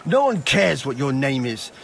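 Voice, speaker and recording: Irritable, animated medieval shopkeeper. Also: male voice, mid-range voice, moderate pace, vocal fry, a little hiss or hum. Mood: indignant, scornful. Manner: grumbling, indignant. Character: irritable, scornful male medieval armourer shopkeeper. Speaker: voice actor in a video game. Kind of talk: dramatic game cutscene dialogue.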